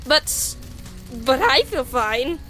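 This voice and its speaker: A woman voicing an earnest, fussy young male skeleton in a comic dub, loud, with emphatic, formal diction. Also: sing-song, drawn-out words, full character voice. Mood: worried, earnest. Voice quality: loud, high-pitched. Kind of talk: animated comic dub acting. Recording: music behind the voice.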